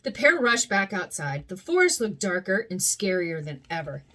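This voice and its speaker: A young adult woman reading a children's storybook aloud with an expressive, animated delivery. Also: medium pitch, normal speed.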